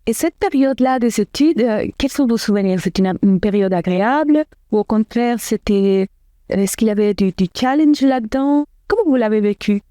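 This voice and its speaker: A woman hosting a podcast interview, asking earnest questions with some filler pauses. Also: a little high, expressive, dark tone, no room sound, studio quality. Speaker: podcast host.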